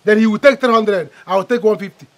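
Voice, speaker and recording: An actor playing an indignant, greedy young Nigerian son, protesting insistently and repetitively in a film melodrama. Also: male voice, high voice, quick pace, lightly acted. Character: indignant, greedy adult son badgering his mother.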